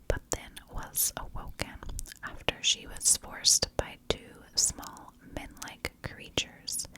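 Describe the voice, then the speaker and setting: A woman whispers a story in ASMR style, never rising into full voice. She takes it very slowly, parcelling the narration out in short, hushed phrases like a host guiding listeners through a tale. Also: poor audio. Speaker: ASMR true crime storyteller, channel host.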